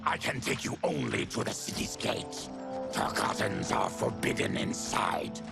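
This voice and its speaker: A man's voice: deep voice, wildly swooping pitch, unhurried, exaggerated voice. A man voicing a grim, honourable monstrous warrior in a video game, growling in terse commands. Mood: solemn. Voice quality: gravelly, growling. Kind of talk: video game cutscene acting. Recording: loud music behind.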